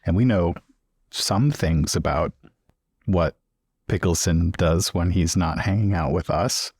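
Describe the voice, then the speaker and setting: A man's voice: low voice, slow, a little mellow, husky, no room sound, studio quality. A man improvising casual in-character dialogue as a player in a tabletop roleplaying game.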